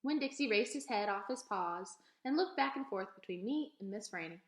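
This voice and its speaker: A woman narrating a children's storybook aloud in an expressive read-aloud style. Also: medium pitch, normal speed. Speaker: storybook reader. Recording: echoey room.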